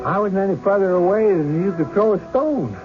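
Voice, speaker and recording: An actor playing a stubborn, excitable rural card player in folksy country talk for an old-time radio drama. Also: male voice, high voice, fairly quick, lightly acted. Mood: alarmed, insistent. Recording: dull lo-fi radio recording with music.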